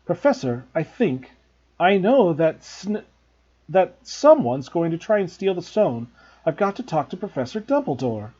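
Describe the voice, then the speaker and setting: A man's voice: on the high side, sing-song, a little slow, dark tone, slightly rough, lo-fi sound, voice pushed up, heavily acted. A man voicing a determined young schoolboy who speaks in an urgent, earnest way.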